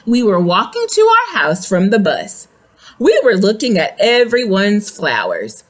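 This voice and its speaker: Woman, children's read-aloud storyteller, very slow pace, lively swooping intonation, medium pitch, explanatory manner. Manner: expressive, explains and asks simple questions. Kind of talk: picture book read-aloud for young children with explanatory asides.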